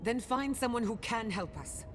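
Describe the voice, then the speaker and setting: A man's voice: extremely high pitch, animated, moderate pace. An actor playing a demanding, insistent man in a fantasy video game cutscene. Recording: long reverb, clear ambient noise.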